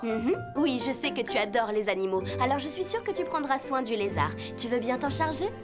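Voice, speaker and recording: A woman voicing a kind, gently encouraging schoolteacher in a dubbed children's cartoon. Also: sits high, animated, a little fast, over loud music.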